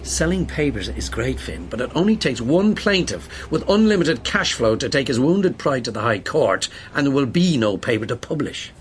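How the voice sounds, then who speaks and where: A man's voice: mid-range voice, very animated, normal speed, few pauses, a little hiss or hum, natural voice. An Irish actor playing a stern, exasperated male newspaper boss in a naturalistic radio drama, speaking bluntly.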